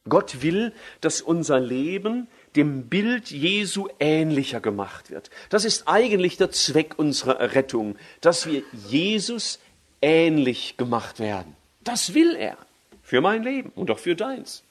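A man preaching a sermon in German. He leans hard on key phrases and slows down when he quotes scripture. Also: a little high, very animated. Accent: German, with a native dialect.

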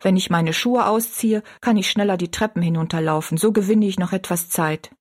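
A woman's voice: medium pitch, fairly quick, natural voice. Woman playing a simple young kitchen maid. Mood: wondering. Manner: simple, amazed, plain-spoken.

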